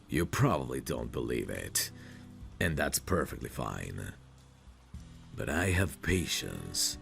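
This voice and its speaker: A man playing a possessive, protective mafia boss in an intimate audio roleplay, self-assured and coaxing, with a gravelly voice. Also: heavily acted. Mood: confident, seductive. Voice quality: very deep, gravelly. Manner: slow, deliberate, self-assured, coaxing with pet names.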